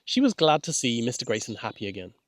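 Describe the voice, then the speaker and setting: Male storyteller, medium pitch, gentle measured read of a children's novel, very little room sound. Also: sing-song, no room sound, clean studio sound. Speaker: author and narrator. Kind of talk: scripted children's audiobook narration.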